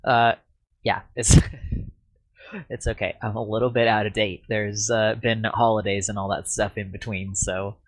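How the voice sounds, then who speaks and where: Slightly raspy man hosting a podcast. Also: lowish voice, flat delivery, measured pace, no room sound. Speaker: podcast host. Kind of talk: casual podcast chat.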